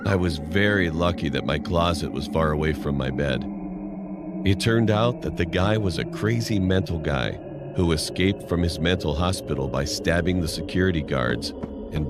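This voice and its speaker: A man's voice: extremely low pitch, animated, normal speed. A man reading a scripted first-person horror story as narration. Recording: music playing loudly behind. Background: loud music.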